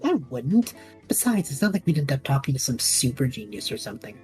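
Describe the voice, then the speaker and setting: A woman's voice: a bass voice, sing-song, a little fast, darkish tone, faint music behind. A woman voicing a cocky, careless male student in an audio drama, casual and flippant. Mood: smug.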